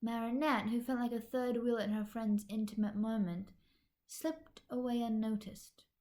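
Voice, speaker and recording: A woman with a fairly high voice reads a story aloud. She is an amateur narrator delivering scripted fan fiction narration. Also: steady tone, normal speed, darkish tone.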